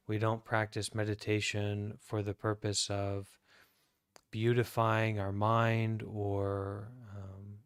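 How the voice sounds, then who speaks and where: An American man speaks as a meditation teacher in a smooth, even voice that stays within a narrow range. He is calm and deliberate, leaving long pauses between short phrases, and the sound is dry and close. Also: on the low side, dry recording. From United States. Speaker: Buddhist monk leading guided meditation. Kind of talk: guided meditation talk.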